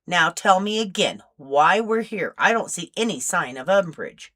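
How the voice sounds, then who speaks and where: A stern older male agent from an audiobook , voiced by a woman, grumpy and disapproving. He speaks in stiff, formal phrases with a bright, forceful edge. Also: on the low side, expressive, a little slow. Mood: stiff, irritated.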